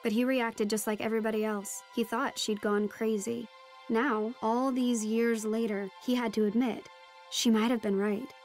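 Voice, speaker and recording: A woman playing a teenage daughter, telling her adventure in measured first-person narration for an audio drama. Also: on the high side, flat delivery, darkish tone, close to an everyday voice. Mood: reflective. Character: teenage daughter recounting a deep-sea adventure. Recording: music behind the voice.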